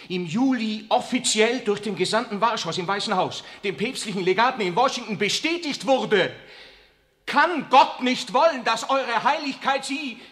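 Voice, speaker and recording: An actor playing a young, zealous, defiant priest in a 1960s radio drama, speaking earnestly and urgently. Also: male voice, high voice, a bit bright. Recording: long reverb of a large room.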